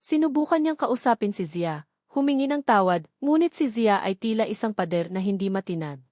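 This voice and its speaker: A woman's voice: medium pitch, animated, brisk, darkish tone, no echo, clean studio sound. A woman with a Filipino accent narrating a dramatic scripted story as an audiobook.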